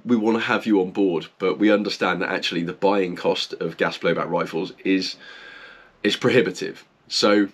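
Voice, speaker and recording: A man talks to camera in a low, slightly husky voice, congested and nasal from a heavy cold. He chats enthusiastically and loosely, with plenty of casual fillers as he reviews his kit. The tone is relaxed and personable. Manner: chatty and enthusiastic, many "like" fillers. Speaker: airsoft channel host.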